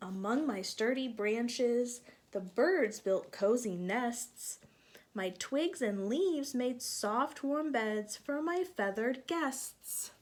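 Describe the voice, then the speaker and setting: A woman's voice: on the high side, animated, slow pace. A woman teacher reading a picture book aloud to young children, stopping to explain things and ask them questions.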